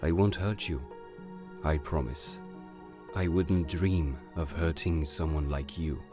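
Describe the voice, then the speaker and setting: A man playing a silver-tongued, gentlemanly con artist of living fire who sprinkles in French endearments, in a romantic audio drama. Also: a bass voice, takes it slowly, over music. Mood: tender, reassuring.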